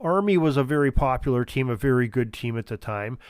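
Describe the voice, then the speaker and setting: A man's voice: mid-range voice, lively intonation, fairly quick, clear, resonant tone, dry recording, studio quality. A man hosting a sports history podcast, chatty and informal, talking straight to his listeners. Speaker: podcast host.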